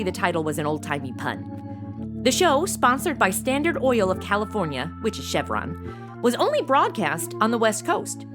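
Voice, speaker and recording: A woman hosting a podcast, giving a chatty scripted outro. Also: a little high. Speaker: podcast host.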